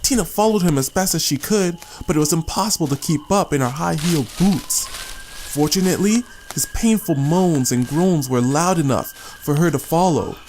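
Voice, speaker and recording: A man's voice: fairly high pitch, normal speed, darkish tone, slight background noise. A man reading a scripted short story as a dramatic audiobook narrator. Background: music.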